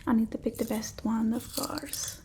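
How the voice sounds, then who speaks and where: Woman acting a creaky, whispering vampire mistress. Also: on the high side, steady tone, slight background noise, lightly acted. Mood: soothing, fussy. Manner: slow, hushed, haughty, fussing over the listener. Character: bossy, fussy old-world female vampire mistress. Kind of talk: ASMR roleplay, whispered and soft-spoken.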